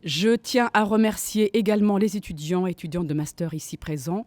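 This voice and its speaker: A woman's voice: mid-range voice, fairly even intonation, brisk, no room sound. A woman lecturer hosting a literary event in a university library, introducing and thanking people while hunting for her words now and then. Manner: warm, searching for words, fillers. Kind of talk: welcoming introduction.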